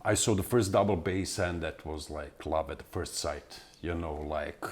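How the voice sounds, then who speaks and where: A man in his forties from Serbia with a Serbian accent, answering interview questions in halting speech full of fillers and restarts. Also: a bass voice. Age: 40s. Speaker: guest musician.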